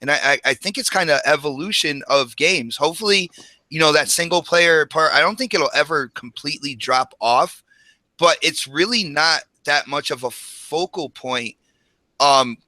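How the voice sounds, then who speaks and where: A man's voice: fairly high pitch, moderate pace, sharp tone, no echo. A man, likely middle-aged, talking casually and emphatically on a gaming podcast in slangy, rambling turns. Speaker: podcast co-host.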